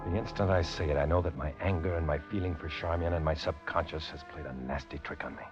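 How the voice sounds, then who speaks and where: An actor playing a tough, wry undercover agent in a 1950s radio noir drama, with clipped delivery. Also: male voice, a bass voice, steady tone, fast talker, muffled recording. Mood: tense, confiding. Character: hard-boiled male undercover agent posing as communist. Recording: band-limited old radio recording with music.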